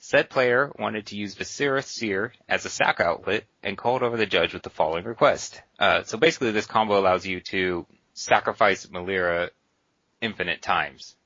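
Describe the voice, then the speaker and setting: A man co-hosting a podcast, jokey and laughing often as he reads listener letters aloud, with a husky voice. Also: lowish voice, moderate pace, bright, forceful tone, dry recording, dull audio. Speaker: podcast co-host reading listener mail. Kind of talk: casual podcast chat.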